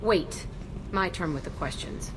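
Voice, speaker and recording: A woman's voice: on the low side, a little slow, noisy background, natural voice. An actress playing a tough, cynical young female ex-convict in a video game, curt, abrasive and sardonic. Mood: challenging. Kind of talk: video game character acting.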